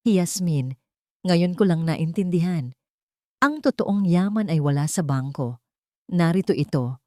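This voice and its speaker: A woman's voice: fairly low pitch, lively intonation, measured pace, a little mellow, no room sound, studio quality. A woman reading as earnest, defiant rich young father. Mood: tender, resolved. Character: defiant, devoted wealthy young father standing his ground.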